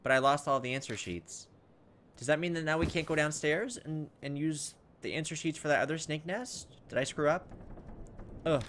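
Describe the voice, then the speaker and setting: A man giving a casual let's play commentary, thinking out loud, reading game text and tossing in exasperated asides. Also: fairly high pitch, moderate pace, slight background noise. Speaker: gaming video host. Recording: background music behind voice. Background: video game music.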